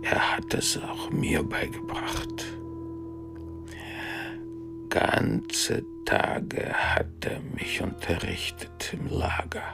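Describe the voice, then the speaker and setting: An actor playing a former camp inmate who recalls a poet friend in halting, hushed, breathy phrases in a radio drama. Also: low-pitched, wildly swooping pitch, in a whisper, music in the background, exaggerated voice. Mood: hushed, solemn.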